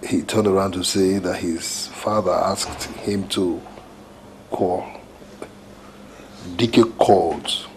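Slow, emphatic Nigerian husband, low-voiced. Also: male voice, darkish tone, slight background noise, low-quality recording. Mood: incredulous. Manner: emphatic, repetitive, deliberate. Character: agitated, dramatic husband worried for his brother. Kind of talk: Nollywood domestic film drama.

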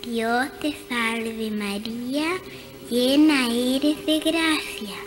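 A small, shy, eager girl for radio drama. The voice is high and childlike, slow overall, with faint music behind. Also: fairly even intonation. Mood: reverent. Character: shy, eager younger girl learning to pray.

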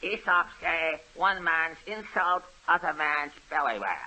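A man's voice: fairly high pitch, animated, a little slow, a crowd in the background. A man playing a bumbling detective in a 1930s radio comedy who speaks in clipped broken sentences and chuckles at his own puns. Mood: pompous. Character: bumbling male pidgin-talking detective Longpan. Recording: old noisy radio broadcast.

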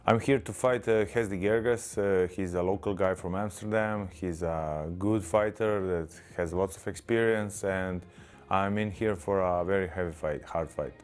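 A man in his thirties with a Croatian accent, a kickboxer speaking modestly and hesitantly in a pre-fight studio interview. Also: deep voice. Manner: measured, modest, hesitant with fillers. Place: studio with branded backdrop. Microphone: lapel.

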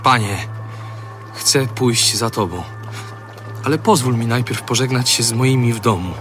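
A man plays a willing follower in an audio Bible drama. He speaks earnestly and respectfully at an average pitch, over clear background music. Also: unhurried, lightly acted. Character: willing would-be male follower of Jesus.

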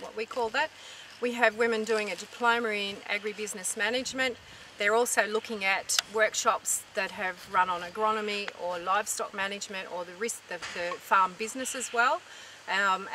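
A woman's voice: medium pitch, bright, forceful tone. A middle-aged woman with an Australian accent, earnestly explaining as a farming group representative in an interview. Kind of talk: informative interview talk. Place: outdoors in open dry farmland. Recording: distant mic, outdoor noise.

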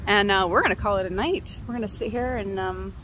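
A woman in her forties talking into a phone held at arm's length outdoors by the ocean, bubbly and excited as she laughs often. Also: medium pitch, brisk, low-quality recording. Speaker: travel vlogger. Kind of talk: casual selfie vlog. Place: outdoors by the ocean, windy beach. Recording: loud wind and surf noise on a phone mic.

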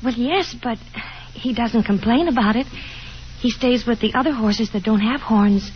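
An actress playing a painfully shy, gentle young woman who speaks hesitantly in a 1950s radio drama. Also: fairly high pitch, a little hiss or hum, lightly acted. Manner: hesitant, halting, self-effacing. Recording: dull 1950s radio broadcast, music behind.

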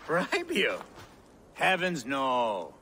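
Wealthy medieval lord, lively swooping pitch, bright forceful tone, theatrical and ingratiating, game drama. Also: male voice, extremely slow, a little hiss or hum, heavily acted. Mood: effusive, ingratiating. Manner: effusive, flowery, theatrical. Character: genial, showy, ingratiating wealthy Saxon lord. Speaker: actor in a video game cutscene. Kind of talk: video game drama acting.

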